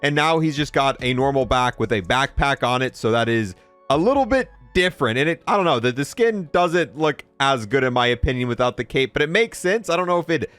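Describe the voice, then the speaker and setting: A man's voice: mid-range voice, animated, fairly quick, fairly bright tone, clean tone, no room sound, faint music behind. A man hosting a gaming video with casual, playful showcase commentary.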